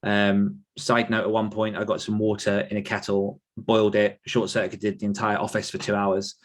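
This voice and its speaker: A man's voice: lowish voice, monotone, moderate pace. A British man telling casual personal anecdotes as an interview guest, chatty and self-effacing, often thinking aloud. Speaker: podcast guest and author.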